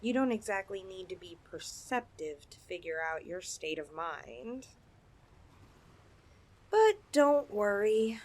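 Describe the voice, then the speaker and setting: Woman voicing a high, lilting, possessive lamia girl. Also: darkish tone, a little hiss or hum, full character voice. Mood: teasing, predatory, then coaxing, protective. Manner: coaxing, playful, dramatic swings in delivery. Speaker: audio roleplay performer. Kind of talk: ASMR roleplay, acted monologue addressed to listener.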